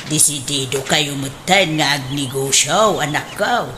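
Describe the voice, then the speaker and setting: A woman playing a gentle, fretful elderly mother in a radio drama, with an Ilocano accent and measured, drawn-out speech. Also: low voice. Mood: gently concerned. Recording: faint background noise.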